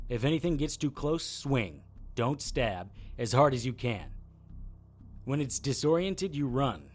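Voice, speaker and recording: A man plays a seasoned occult adventurer in an audio drama. He sounds mid-pitched, brisk and commanding, laying things out in a matter-of-fact, instructive way. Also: close to an everyday voice. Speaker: lead actor and narrator. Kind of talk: audio drama acting and first-person narration.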